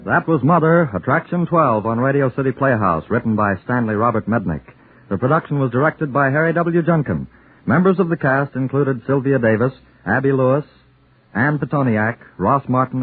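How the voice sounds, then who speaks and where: A man announcing in a scripted 1940s radio style with dramatic, measured phrasing. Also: mid-range voice, husky. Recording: dull 1940s radio broadcast with music. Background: music.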